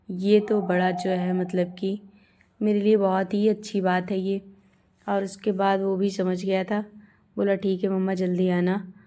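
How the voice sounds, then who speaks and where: A woman in her thirties with a hoarse voice, chatting homely and warmly to her viewers as she narrates a travel vlog. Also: medium pitch. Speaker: vlogger.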